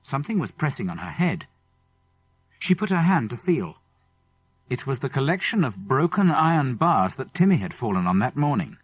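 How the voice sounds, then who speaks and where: A man's voice: medium pitch, very animated, normal speed, slightly rough, no room sound. A man with a British English accent narrating a children's adventure story dramatically. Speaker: audiobook narrator. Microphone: close studio microphone.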